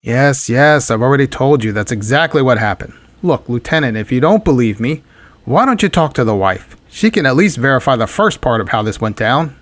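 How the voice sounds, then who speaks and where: A man's voice: mid-range voice, a little fast, no room sound, natural voice. Man playing a wry hard-boiled radio private eye. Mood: dry, irritated. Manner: wry, deadpan, wisecracking. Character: hard-boiled, wisecracking male private detective narrating his case. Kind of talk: 1940s radio detective drama acting.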